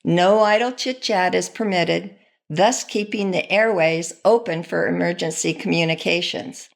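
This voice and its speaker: A woman's voice: fairly low pitch, measured pace, creaky. A woman reading scripted training narration.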